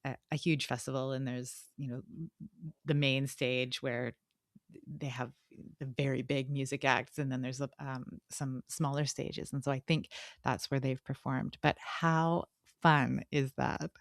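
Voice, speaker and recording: A woman's voice: extremely low pitch, steady tone, measured pace, no room sound, studio quality. A woman co-hosting a podcast, talking casually about books in a conversational, explanatory way. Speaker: podcast co-host. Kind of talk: casual book discussion.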